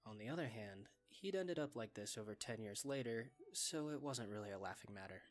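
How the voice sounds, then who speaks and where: A man's voice: on the low side, animated, a little fast, soft background music. A man reading scripted story narration as the narrator of a fan dub.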